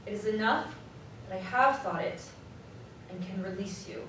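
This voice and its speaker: A woman's voice: mid-range voice, natural voice. Woman acting a sorrowful young fiancée, echoey stage. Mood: grieving, resolute. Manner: earnest, measured, formal phrasing. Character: sorrowful young fiancée releasing her money-obsessed lover. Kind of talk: live radio drama acting, period dialogue. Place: live community theatre stage. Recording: very echoey live hall, distant, faint noise.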